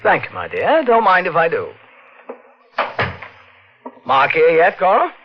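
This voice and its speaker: An actor playing a sly man who faked his own death, speaking in a smooth, ingratiating way in a 1950s radio drama. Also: on the high side, huge pitch swings, a little slow, slight background noise. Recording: dull vintage 1950s radio recording.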